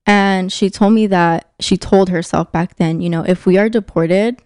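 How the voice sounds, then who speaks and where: A woman's voice: lowish voice, steady tone, normal speed, a little mellow, clear, resonant tone, dry recording, studio quality. A young American woman, bilingual in Spanish and English, telling personal stories candidly and reflectively in casual conversation. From Phoenix, United States; born in Mexico. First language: bilingual native Spanish and English. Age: young adult. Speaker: interview guest.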